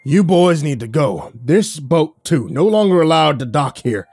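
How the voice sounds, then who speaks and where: A man voicing an angry old male dockmaster who yells and spits in rage, in a spoken horror story. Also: on the high side, lively intonation, a little slow, husky, dry recording, faint music behind, pitched above the performer's own voice, full character voice. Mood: furious. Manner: yelling, spitting mad.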